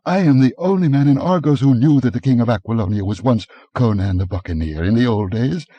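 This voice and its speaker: A man putting on a fearful, wealthy merchant in a storybook tale, speaking nervously in a pleading tone. The voice climbs well above the reader's own low pitch. The recording is dry and close. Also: a little fast, warm tone, no room sound, highs cut off, lightly acted.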